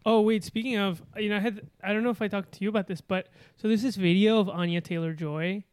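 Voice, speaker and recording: A man's voice: high voice, steady tone, fast, a little mellow, clean tone, dry recording. A man co-hosting a podcast, rambling and self-deprecating in casual chat. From Argentina. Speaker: podcast co-host.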